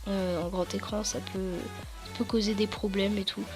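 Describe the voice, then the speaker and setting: A teenage girl from France recording a casual computer tutorial at home, a bit hoarse, rambling with many fillers and restarts. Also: mid-range voice, fairly even intonation, moderate pace, a little mellow, faint music behind. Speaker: tutorial YouTuber.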